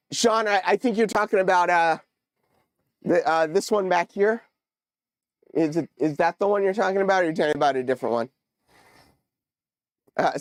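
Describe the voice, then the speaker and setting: A man's voice: fairly high pitch, clean tone, dry recording, studio quality. A man with a congested nose hosting a casual livestream, chatting with viewers and trailing off into fillers. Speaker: livestream host. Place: home model railroad room.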